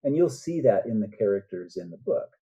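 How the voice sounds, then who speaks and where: Guy author on a podcast, really mellow dark voice, kinda low, earnest and reflective. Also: very animated, fairly quick, lo-fi sound. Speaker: guest author. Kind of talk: casual interview talk.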